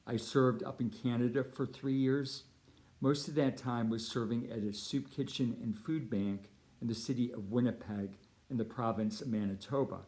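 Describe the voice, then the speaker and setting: A middle-aged man with a Californian American accent, a priest giving a prepared appeal talk in measured, deliberate phrases. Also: medium pitch, steady tone, a little mellow, rough recording. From United States (Chicago and Southern California).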